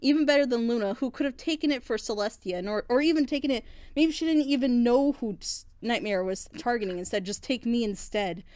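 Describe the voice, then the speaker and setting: Higher-voiced woman ranting passionately. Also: brisk, hardly any pauses, a little mellow, no room sound, clean studio sound. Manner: frustrated, rambling, emphatic. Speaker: reaction channel host. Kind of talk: passionate casual critique.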